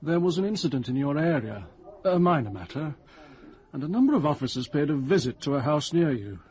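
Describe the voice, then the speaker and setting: An actor with a British accent playing a shrewd police inspector, formal, measured and politely probing, in a radio drama. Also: male voice, a little high, very animated, a little mellow, slightly rough. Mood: frank, measured.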